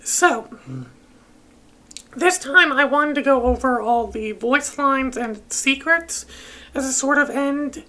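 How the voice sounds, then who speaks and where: Higher-pitched woman doing game commentary. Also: takes it slowly, bright, forceful tone. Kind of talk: casual let's play commentary.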